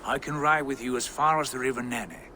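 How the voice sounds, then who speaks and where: An actor playing a brisk, decisive Viking lord in a game cutscene, speaking with authority. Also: male voice, medium pitch, bright, forceful tone, a little hiss or hum, natural voice. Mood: brisk, assured. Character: brisk, commanding Viking lord planning alliances. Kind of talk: video game cutscene acting.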